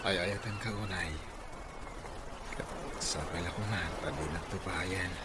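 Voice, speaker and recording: A man's voice: low-pitched, steady tone, a little slow. An actor playing an earnest, sentimental young man in love, with an Ilocano Filipino accent, in a radio drama. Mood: earnest, pleading. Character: lovestruck, earnest young man courting a woman. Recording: noisy radio drama track with ambience.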